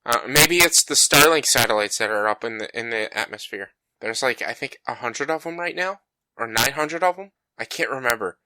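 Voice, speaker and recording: A man's voice: expressive, normal speed, sharp tone, no room sound, rough recording. A man co-hosting a casual gaming podcast, talking in a rambling, self-deprecating way with plenty of fillers. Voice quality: bassy voice. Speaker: podcast co-host. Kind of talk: casual chat. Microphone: home podcast mic.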